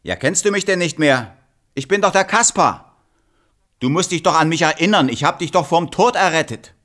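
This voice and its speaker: An actor playing a cheeky, naive, boastful clown in a fairy-tale radio play, exclamatory and clownish. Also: male voice, on the high side, animated, moderate pace, fairly bright tone. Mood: eager, insistent. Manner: exclamatory, clownish, nonsense catchphrases.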